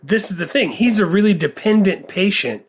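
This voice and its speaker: A man with a gravelly voice co-hosting a movie podcast in a casual, halting conversational style. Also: sits high, animated.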